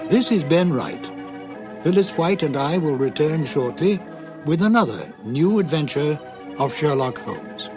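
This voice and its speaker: A man's voice: fairly high pitch, expressive, unhurried. A man hosting a radio program with formal, courteous scripted introductions. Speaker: program host. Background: faint music.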